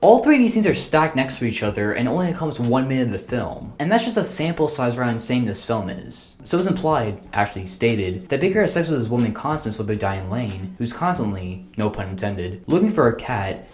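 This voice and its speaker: A man's voice: mid-range voice, big pitch swings, brisk, few pauses, faint music behind. A man delivering a scripted comedic film review as a host, with sarcastic, wry asides.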